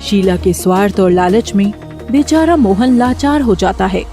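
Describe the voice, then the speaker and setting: A woman's voice: medium pitch, mellow. A woman narrating a scripted moral story as its storyteller.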